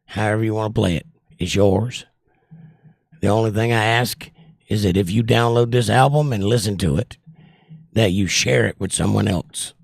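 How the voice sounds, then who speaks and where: A man's voice: fairly low pitch, normal speed, vocal fry, long pauses, no room sound, studio quality. An older man, casually reading viewer comments aloud on his livestream and answering them. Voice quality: voice worn from years of trouble. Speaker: livestream host reading chat.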